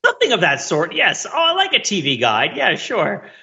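A middle-aged American man with a bright, forward voice, co-hosting a casual podcast. He rambles through his points like an eager storyteller, and the audio is a little dull. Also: high voice, wildly swooping pitch, moderate pace. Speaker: podcast co-host.

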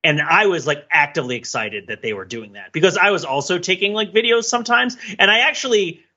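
A man with a forceful, bright and slightly high-pitched voice co-hosts a casual podcast. He talks in a wry, wordy, analytical way. The audio is a little dull and compressed. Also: lively intonation, brisk. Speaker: podcast co-host. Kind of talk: casual conversational podcast talk.

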